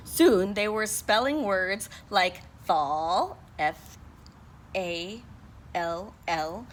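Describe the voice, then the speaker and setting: A woman's voice: mid-range voice, drawn-out words. A woman teacher reading a picture book aloud to her class, sounding out and spelling words letter by letter.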